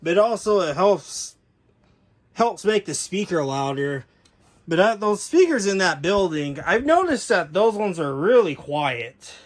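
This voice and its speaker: A man giving a casual, unscripted show-and-tell as a hobbyist presenter, talking in a rambling, halting, detail-focused way. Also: high voice, big pitch swings. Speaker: hobbyist presenter showing his speaker collection.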